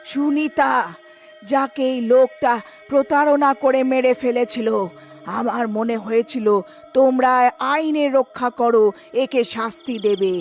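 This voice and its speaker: A woman voicing the menacing ghost of a wronged young woman in a cartoon, commanding and threatening, with drawn-out calls. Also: high voice, soft background music. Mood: bitter, vengeful.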